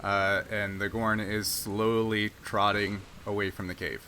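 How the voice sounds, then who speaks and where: Male game master, bright forceful tone, halting casual narration, faint background noise. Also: fairly low pitch, little pitch movement.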